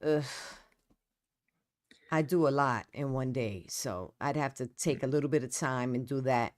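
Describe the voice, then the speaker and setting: A woman's voice: very deep voice, unhurried, darkish tone, no echo, studio quality. A middle-aged woman with a New York accent, a guest poet and author chatting casually, repeating words and trailing off. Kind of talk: casual conversation.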